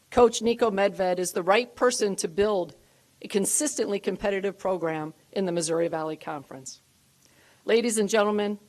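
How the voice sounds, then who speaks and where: A woman delivers a prepared introduction at a press event. Her tone is measured and formal, with a voice of ordinary pitch for a woman. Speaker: university official introducing a coach.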